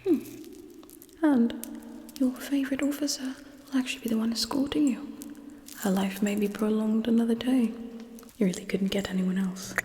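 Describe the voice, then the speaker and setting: A woman's voice: a little high, warm tone, slight background noise, close to an everyday voice. Woman as whispering haughty alien ruler in reverb. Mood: cold, commanding. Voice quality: breathy whisper. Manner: slow, condescending, disdainful. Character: haughty, menacing female alien military ruler, coldly contemptuous. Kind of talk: whispered ASMR roleplay. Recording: echoey room with long reverb.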